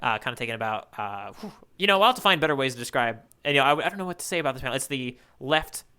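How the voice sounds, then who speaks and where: A man with a very bright, sharp-edged voice at an average pitch. He talks casually as a podcast co-host, with playful banter and an informal, conversational delivery. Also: animated, very fast, smooth. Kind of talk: casual chat about manga panels.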